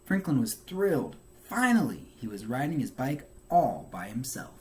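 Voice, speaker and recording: Animated man reading a children's storybook. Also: medium pitch, moderate pace. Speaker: teacher reading a children's book aloud. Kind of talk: expressive storybook narration.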